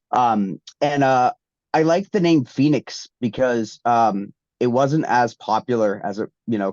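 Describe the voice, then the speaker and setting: A man's voice: fairly low pitch, dry recording. A man, congested from a recent flu. He rambles through his answers with plenty of ums and restarts. The sound is dull, lo-fi and dry. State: congested, getting over the flu. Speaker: guest wrestler. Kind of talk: casual interview answers.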